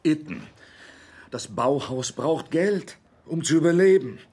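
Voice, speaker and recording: An actor in a German radio play voicing a weary, courteous older academy director with a formal, sighing manner. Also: male voice, fairly high pitch, big pitch swings, slow pace, warm tone, breathy edge, full character voice. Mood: insistent.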